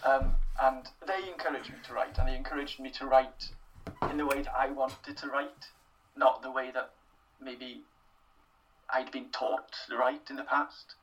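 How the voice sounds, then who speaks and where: A man's voice: medium pitch, steady tone, measured pace, a bit bright, recorded from a distance, slight background noise, low-quality recording. A young Welsh man answering interview questions in a thoughtful, self-deprecating way, with plenty of fillers and restarts. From Wales. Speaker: interview guest, writer. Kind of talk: casual interview.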